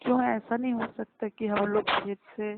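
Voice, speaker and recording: Flat-voiced young woman on noisy phone. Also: a little high, normal speed, creaky. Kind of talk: casual phone conversation. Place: phone call. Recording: very noisy phone line with clicks.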